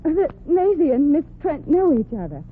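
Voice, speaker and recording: A woman's voice: high-pitched, very animated, normal speed, a little hiss or hum. An actress playing a friendly, chatty young department store saleswoman, in 1940s radio comedy style. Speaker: store clerk. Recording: tinny old radio broadcast.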